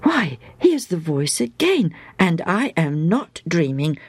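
A woman voicing an earnest, puzzled young boy in a children's Bible story, with a breathy, eager delivery. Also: on the low side, sing-song, slow pace, dry recording, heavily acted. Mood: puzzled, wondering.

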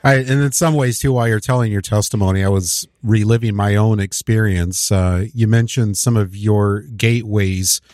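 A man hosting a faith podcast, reacting to a guest's story in an earnest, animated way. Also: lowish voice, normal speed, few pauses, dry recording, clean studio sound. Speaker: podcast host.

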